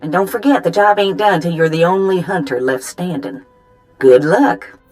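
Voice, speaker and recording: A woman's voice: fairly low pitch, moderate pace, a little mellow, faint music behind, lightly acted. A woman playing a sly handler who gives deadly assignments in a video game. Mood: teasing instruction. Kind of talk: video game cutscene acting.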